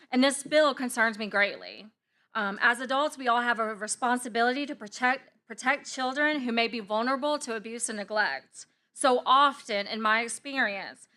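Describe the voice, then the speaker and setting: A woman, a social worker giving loud, earnest testimony at a public hearing. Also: a little high.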